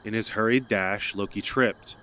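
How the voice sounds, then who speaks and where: A man's voice: lowish voice, steady tone, moderate pace, sharp tone, rough recording. A man narrating a scripted audio drama as a storyteller. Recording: noticeable background noise.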